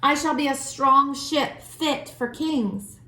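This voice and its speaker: A woman's voice: high voice, slow pace, sharp tone, voice pushed up, heavily acted. A woman voicing an ambitious young male tree in a storybook, boldly and with determination. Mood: proud. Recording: roomy, echoing room sound.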